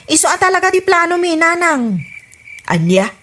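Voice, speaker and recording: A woman's voice: very high-pitched, very animated, moderate pace, pushed and bright, slight background noise, heavily acted. A woman with an Ilocano accent playing an exasperated, nosy neighbourhood woman in a comedy radio drama.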